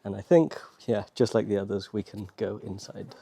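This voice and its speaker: A British man from Wales talking in a relaxed, conversational, appreciative way, like a casual travel vlog. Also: on the low side, moderate pace, creaky, breathy edge, no room sound. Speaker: travel vlogger. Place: outdoors and inside rock-cut tombs at a desert site.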